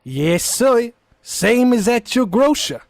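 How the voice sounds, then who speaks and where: A man plays a polite nightclub waiter with sly salesman patter. His voice is high and unhurried. The sound is close and dry. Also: big pitch swings, no room sound, heavily acted. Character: smooth, upselling nightclub waiter.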